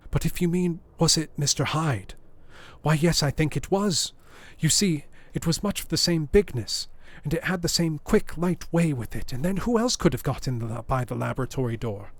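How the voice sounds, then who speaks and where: A man voicing a frightened, loyal old male butler who pleads in rustic phrasing, raised in pitch above his own voice. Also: animated, normal speed, no echo.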